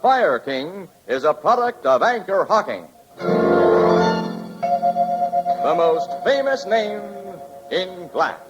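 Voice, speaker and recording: Animated vintage radio announcer, man. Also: on the high side, slow pace, pauses often, loud music behind the voice. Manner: emphatic sales delivery. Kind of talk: scripted 1940s radio advertising. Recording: dull old radio recording.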